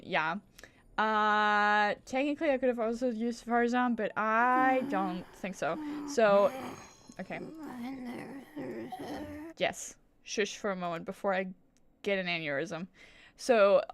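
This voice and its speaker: A woman's voice: medium pitch, noisy background. Rambling woman streamer, casual and chatty. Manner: rambling, self-interrupting; swears casually. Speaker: game streamer. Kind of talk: casual livestream gameplay chat.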